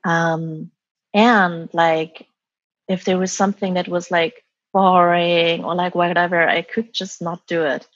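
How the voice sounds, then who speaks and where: A woman guest with a breathy voice who talks reflectively, full of "like" and "um", about her own path. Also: on the low side, level tone, measured pace, no room sound, muffled recording. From Germany and Taiwan. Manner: reflective, many "like" and "um" fillers. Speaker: guest, former business consultant. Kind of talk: reflective interview answers.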